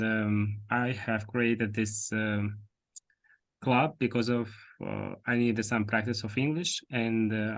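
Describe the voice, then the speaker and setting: A man hosting an online English speaking club, hesitant, with many fillers and restarts over a video call. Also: lowish voice. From Russia. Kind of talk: casual online club meeting.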